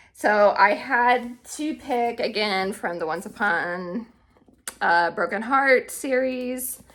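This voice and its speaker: A woman speaks as the host of an informal book vlog. Her voice is bright and forward, and her pace is slow and unhurried. She chats casually and tends to correct herself mid-thought. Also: medium pitch. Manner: chatty, self-correcting, informal. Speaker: book content creator and host.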